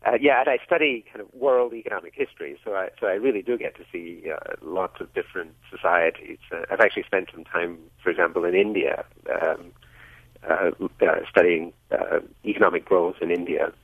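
A middle-aged man from Scotland, an economics professor, answering radio interview questions over the phone, measured and full of hesitations. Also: sits low, fairly even intonation, slightly rough, no echo. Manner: hesitant, many uhs, measured explanations. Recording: tinny phone line.

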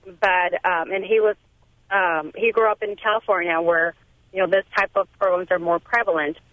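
A woman whose first language is Spanish, calling in to a radio show and speaking hesitantly with many pauses. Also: on the low side, fairly even intonation, dry recording. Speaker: caller. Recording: phone line.

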